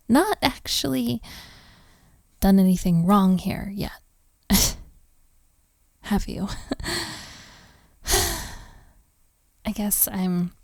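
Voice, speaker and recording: A woman playing a flustered, overworked, sharp-tongued hotel maid in a romantic roleplay audio drama, with a sarcastic, exasperated, sighing delivery. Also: sits high, no echo, studio quality, full character voice. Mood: weary, softening.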